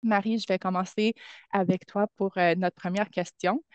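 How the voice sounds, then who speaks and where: Smooth low woman moderating, dull sound. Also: steady tone, normal speed, no echo. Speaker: panel moderator. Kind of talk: moderating a webinar panel.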